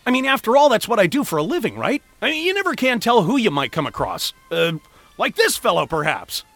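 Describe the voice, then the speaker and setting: An actor playing an excitable, chatty, mild-mannered man stranded alone, thinking aloud theatrically in an audio drama. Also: high-pitched, a little fast, no room sound, heavily acted. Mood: playful. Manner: theatrical, animated, self-talking.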